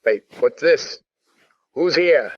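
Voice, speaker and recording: A man's voice: fairly high pitch, animated, takes it slowly. A man playing a casually menacing, mock-polite working-class henchman in an old-time radio gangster drama. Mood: suspicious. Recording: slightly muffled audio.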